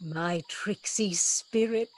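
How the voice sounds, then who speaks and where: A woman playing a commanding wronged duchess and magician in a Shakespearean radio play, with stately, measured verse delivery. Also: medium pitch, darkish tone, dry recording. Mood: fond.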